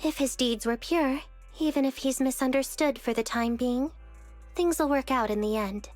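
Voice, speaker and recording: A woman playing a principled, composed young public security officer in a video game, formal and measured like a teacher. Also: sits high, a little mellow, faint music behind, close to an everyday voice. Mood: calm, resolute. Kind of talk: video game character acting.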